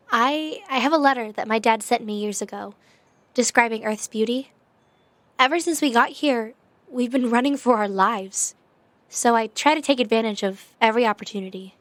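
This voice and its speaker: A woman playing a composed, gracious young leader in an audio drama, measured and gently formal. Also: a little high, natural voice. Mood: reflective.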